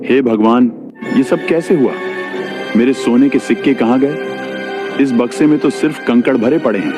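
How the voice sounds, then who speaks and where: A man's voice: mid-range voice, normal speed, music in the background, natural voice. A man playing a greedy, scheming village labourer in a moral story cartoon, sly and deceitful. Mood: startled, uneasy. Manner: sly, falsely reassuring.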